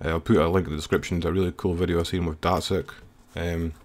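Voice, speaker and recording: Low-voiced man explaining music software. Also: little pitch movement, fast talker. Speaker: music producer giving a tutorial. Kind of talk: casual screen-recorded software tutorial. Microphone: voice-over recorded while working at computer.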